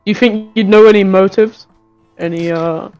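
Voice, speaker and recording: A man playing a polite, folksy Wild West sheriff in an improvised game roleplay, speaking haltingly with many pauses. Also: high voice, steady tone, a little mellow, clear, resonant tone, close to an everyday voice. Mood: concerned, hesitant. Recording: dull muffled audio with guitar music behind.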